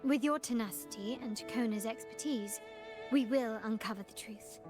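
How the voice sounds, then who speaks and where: A woman acts a composed, resolute noblewoman from a fantasy game. Her voice sits fairly high, formal and measured, with quiet assurance. Violin music plays clearly behind her. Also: lightly acted. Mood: determined, composed. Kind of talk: scripted video game voice acting. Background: background music with violin.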